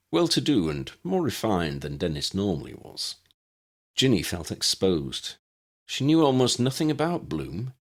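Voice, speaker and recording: A man narrates a fiction audiobook in a low voice with a creaky edge. His intonation is lively and varied as he reads the scripted prose.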